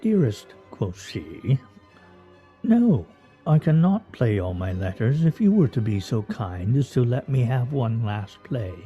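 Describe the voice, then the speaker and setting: A man's voice: mid-range voice, big pitch swings, a little slow, breathy edge, phone-quality sound, soft background music. A man acting in a radio play as a pompous, needling husband with a florid, mock-formal, teasing way of talking. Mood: aggrieved, theatrical. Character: pompous, needling, theatrical husband and scrabble player.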